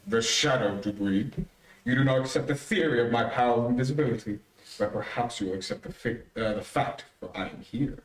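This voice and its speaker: Mysterious radio crime fighter male actor: commanding, deliberate, medium pitch, distant roomy sound. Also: natural voice. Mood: imposing. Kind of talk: old-time radio mystery acting. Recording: roomy, distant amateur stream audio.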